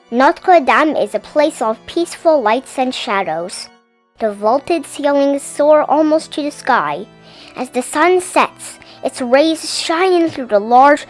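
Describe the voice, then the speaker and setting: A woman narrating a children's story in an animated, theatrical read-aloud style. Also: high voice, rough recording. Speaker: storybook reader.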